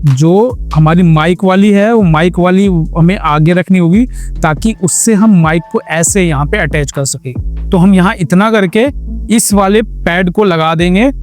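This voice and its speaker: A man speaks in a distinctly high-pitched voice over background music. He presents a hands-on tutorial in a casual vlogger style. His explanations go step by step, with English words mixed in. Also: a little slow. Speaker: motorcycle vlogger and tutorial host. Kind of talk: casual hands-on how-to narration.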